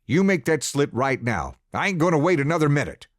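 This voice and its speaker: A man voicing a harsh, cursing farmer father in an audiobook reading, with a lively, swinging pitch and a dry close sound. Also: a little slow, dry recording. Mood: angry. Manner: gruff, cursing, commanding. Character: harsh rough farmer father, gruff, impatient. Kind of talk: audiobook character voice.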